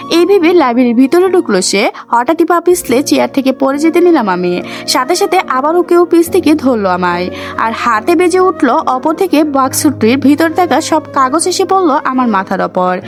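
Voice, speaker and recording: A woman narrating a dramatized romance story in the first person. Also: high voice.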